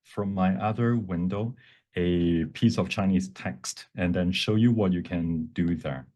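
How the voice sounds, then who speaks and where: Male workshop presenter, low register, explanatory and halting while demonstrating software. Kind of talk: live software demonstration talk. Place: online presentation at a computer.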